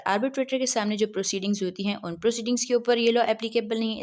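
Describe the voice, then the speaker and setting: Lady teaching law, asks and answers her own questions, reads english text out slow. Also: mid-range voice. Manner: asks herself questions and answers them, reading English legal text slowly. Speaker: law lecturer. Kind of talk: recorded lecture.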